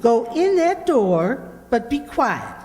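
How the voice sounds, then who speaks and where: A woman plays a prim, wry receptionist in an old radio comedy. Her voice is high and swooping, with slow, pointed wisecracks. It rings in a hall. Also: very animated, a little mellow. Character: dry, wisecracking female law office receptionist. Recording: echoey hall, long reverb.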